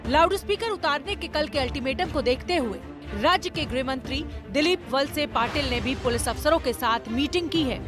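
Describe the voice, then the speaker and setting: Female news voice-over, high pitch, scripted delivery, prominent music bed underneath. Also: normal speed. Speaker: news reporter.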